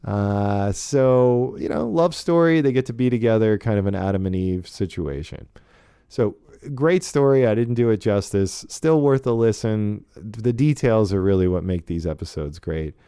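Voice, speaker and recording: Laid back sounding guy w a low mellow voice, podcast host figuring it out as he talks, really dry sound. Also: lively intonation, normal speed, clean tone, no echo. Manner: hesitant, thinking aloud, often tags phrases with "right". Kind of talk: casual podcast discussion of old radio shows.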